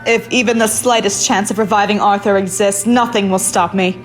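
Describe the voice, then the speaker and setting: A fierce queen in mourning voiced by a woman for an audio drama. Her medium-pitched voice is intense and resolute, with light music behind. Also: steady tone, brisk, close to an everyday voice. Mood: determined. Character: fierce, determined grieving warrior queen.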